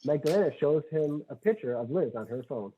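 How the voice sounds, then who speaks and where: A man's voice: fairly high pitch, animated, a little fast, heavy background noise. Man reading stage directions, phone-like sound. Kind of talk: scripted narration at a table read. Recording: tinny phone-like audio.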